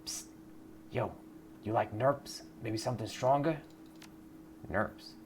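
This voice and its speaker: A man's voice: fairly low pitch, slow pace, slight background noise. A man voicing a shady street drug dealer in a video game, with pushy, slangy sales patter. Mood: furtive, enticing.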